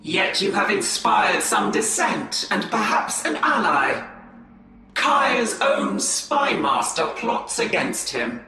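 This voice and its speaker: A haughty ancient warrior woman of an alien race, her bright, forceful voice swooping dramatically. She is stern and commanding, made for a game cinematic. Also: mid-range voice, very animated, faint music behind, full character voice. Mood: sly, knowing. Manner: commanding, taunting, deliberate. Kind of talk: theatrical game cinematic acting. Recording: heavy otherworldly echo.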